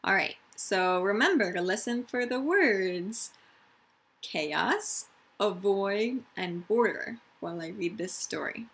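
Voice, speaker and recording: A woman's voice: medium pitch, animated, slow, creaky, fairly bright tone, slightly rough. A woman, a teacher of young children reading aloud and explaining things simply, stressing key words. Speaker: elementary school teacher.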